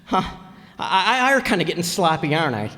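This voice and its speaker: A man's voice: on the high side, sing-song, fast, pushed and bright. A man playing a blustering, stubborn husband in an old-time radio comedy, folksy and slangy with stammering speech. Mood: flustered. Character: blustering, stubborn husband who mangles his grammar. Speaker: actor in radio comedy play. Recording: echoey hall, live stage performance.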